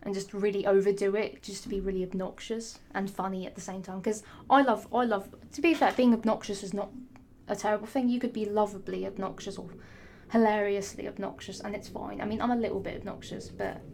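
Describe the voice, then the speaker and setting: A young woman talks to camera in a loose, rambling monologue, her voice rough and hoarse. She is sassy and swears freely, often restarting her sentences mid-thought. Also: medium pitch, fairly even intonation, fairly quick, a little mellow, slight background noise. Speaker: vlogger.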